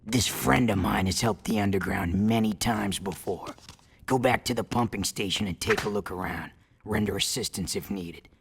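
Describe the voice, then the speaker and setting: An actor playing a stern, curt, hard-bitten underground resistance commander in a video game cutscene. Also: male voice, lowish voice, moderate pace, gravelly, slightly rough, full character voice. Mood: grave.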